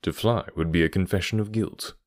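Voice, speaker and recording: Guy doing calm bossy detective for an audiobook, very low voice, assured n stern, dry sound. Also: a little fast, dry recording, close to an everyday voice. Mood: calm assurance. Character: calm, authoritative, stern male English detective.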